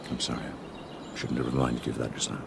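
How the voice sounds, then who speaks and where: A blunt, gruff man in a radio drama. The voice is extremely deep and gravelly, and a faint hiss sits under it. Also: heavily acted. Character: gruff older Englishman, blunt but kind.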